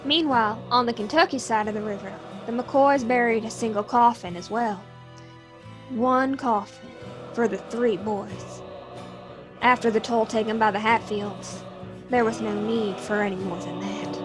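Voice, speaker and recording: A woman with a fairly high voice narrates a drama. Her delivery is solemn and deliberate, like scripted storytelling, with loud music behind her. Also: steady tone. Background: prominent music.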